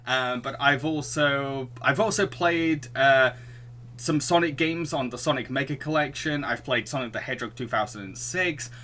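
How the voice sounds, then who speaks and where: A man's voice: medium pitch, normal speed, pushed and bright, a little hiss or hum. A man hosting a casual film podcast, often repeating phrases as he goes. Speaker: podcast host.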